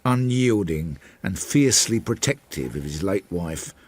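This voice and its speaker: An actor playing a Victorian police sergeant who narrates his inquiry in a measured, wry, literary way in a radio drama. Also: deep voice, lively intonation, darkish tone, husky, heavily acted.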